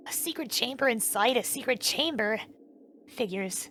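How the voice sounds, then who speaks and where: A woman voicing a curious, plucky, informal teenage girl in an anime fandub, casual and expressive. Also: fairly high pitch, normal speed, a little hiss or hum. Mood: puzzled, wry. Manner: casual, expressive, talks to herself.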